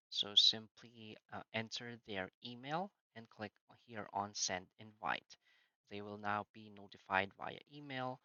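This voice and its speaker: Bright-voiced man giving a software walkthrough. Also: fairly low pitch, clear, resonant tone, no echo, muffled recording. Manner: plain step-by-step instructions, frequent "so" and "uh". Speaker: software tutorial narrator. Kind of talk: screen-recorded walkthrough narration.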